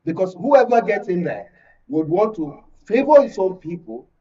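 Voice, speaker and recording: Mellow, higher-pitched Nigerian man, muffled recording. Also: very animated, moderate pace. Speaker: guest panelist. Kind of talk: political panel discussion. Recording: dull, distant studio sound.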